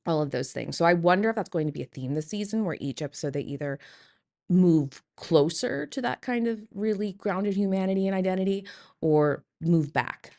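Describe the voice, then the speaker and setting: A woman's voice: low voice, darkish tone. A woman leaving a recorded listener message, giving thoughtful, enthusiastic commentary in a casual way.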